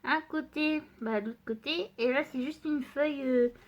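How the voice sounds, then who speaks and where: A woman's voice: high-pitched, normal speed, highs cut off. A woman with a stuffy voice from a cold, chatting casually to her viewers as she shows craft supplies and tests paper, with many fillers. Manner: chatty, addresses viewer directly, frequent fillers. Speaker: art channel host.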